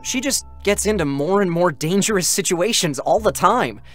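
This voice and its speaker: A young male scout android from a video game drama. He sounds boyish and earnest, with a very animated pitch and open, sensitive delivery. Faint music sits behind him. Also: moderate pace. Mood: earnest, wistful. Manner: earnest, youthful, expressive.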